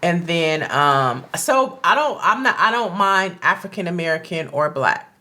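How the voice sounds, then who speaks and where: Female co-host, low-ish voice, reflective and deliberate. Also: animated, sharp tone. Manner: earnest, thoughtful, with many "um"s and repeated starts. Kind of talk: casual chat.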